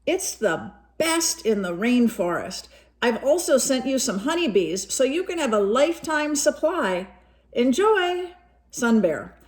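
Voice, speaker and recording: A woman voicing a kindly, generous male sun bear in a children's storybook, reading in a friendly, expressive way. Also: high-pitched, unhurried. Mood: cheerful, generous.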